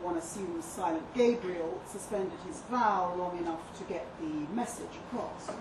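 A woman playing an earnest, articulate author giving an interview, read live as a measured, deliberate dramatic monologue. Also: mid-range voice. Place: live reading in a pub venue. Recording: distant echoey live room, noisy background.

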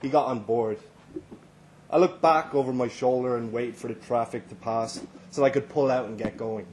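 A man's voice: mid-range voice, normal speed, a little mellow. A man with an Irish accent reading a first-person story at a live literary event. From Ireland. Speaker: story reader. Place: live reading at a London venue. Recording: live event recording, faint background noise.

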